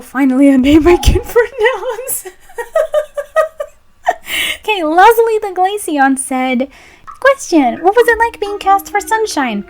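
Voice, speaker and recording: A young woman, a voice actress chatting playfully through a fan Q&A. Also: high voice. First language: Brazilian Portuguese. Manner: bubbly, playful, giggly. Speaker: voice actress and channel host. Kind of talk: casual Q&A chat.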